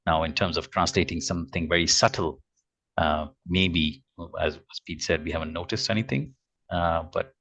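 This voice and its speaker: A man with a low but bright, forceful voice adds technical detail to a webinar answer. He hesitates often and fills his pauses with short sounds. He is speaking over a video call. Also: fairly even intonation. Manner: hesitant, many fillers. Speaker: guest presenter.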